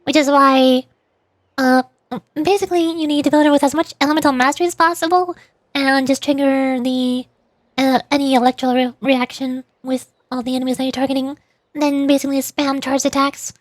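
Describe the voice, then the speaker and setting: A woman's voice: sits high, fairly even intonation, brisk, dry recording. A woman streaming a video game and chatting casually through gameplay commentary. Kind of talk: casual gaming livestream commentary.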